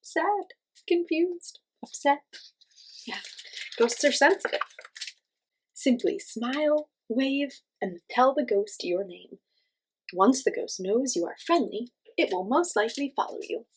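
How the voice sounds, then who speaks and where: A woman's voice: sits high, expressive, takes it slowly, husky, poor audio. A woman hosting a children's read-aloud, reading a picture book expressively and speaking directly to young listeners with simple questions and explanations.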